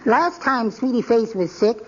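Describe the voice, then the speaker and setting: An actor playing a meek, henpecked little man in a 1940s radio comedy, talking softly with small nervous chuckles. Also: very high-pitched, animated, measured pace, husky, full character voice. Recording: muffled hissy 1940s radio broadcast.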